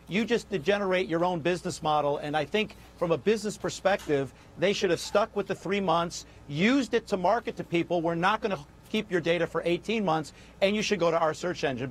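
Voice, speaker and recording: An American man speaking as an economist guest, giving analytical, matter-of-fact news commentary. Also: sits high.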